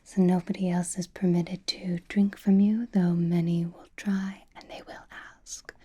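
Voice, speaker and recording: A woman playing a centuries-old aristocratic vampire lady in a whispered ASMR roleplay, doting, possessive and softly teasing, with refined manners and a breathy voice. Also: fairly low pitch, level tone, measured pace.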